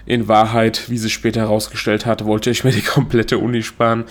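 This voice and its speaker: A man's voice: fairly low pitch, monotone, a little fast. A young adult man from Germany hosting his own solo podcast, talking in a chatty, self-correcting way and laughing at himself. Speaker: podcast host. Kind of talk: casual solo podcast monologue.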